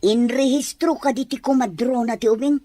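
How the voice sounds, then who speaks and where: A woman's voice: on the high side, moderate pace, dark tone, no echo, close to an everyday voice. A woman playing a nosy, blunt, teasing relative in an audio drama. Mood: probing, accusing.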